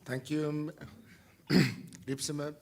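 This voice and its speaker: A man's voice: fairly high pitch, little pitch movement, takes it slowly, creaky, a little mellow. Armenian-native guy in his 30s, panel speech in a conference hall, earnest and plain. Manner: earnest, plain-spoken, lightly humorous. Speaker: panelist, member of parliament.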